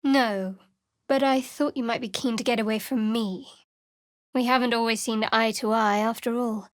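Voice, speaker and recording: A woman voicing a wry dark cleric. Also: a little high, fairly even intonation, moderate pace, no room sound, clean studio sound, lightly acted. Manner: wry, cool and reserved, whispering her prayers. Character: guarded young female cleric of a dark goddess, dry and wary. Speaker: game companion character. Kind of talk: video game voice acting. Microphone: close studio mic.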